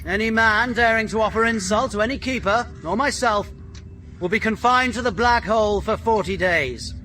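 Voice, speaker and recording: A stern prison commandant for a radio drama. The voice is high and sharp, with a clipped, domineering bark, over faint background noise. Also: male voice, moderate pace. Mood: commanding, threatening. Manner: barking, clipped, authoritarian.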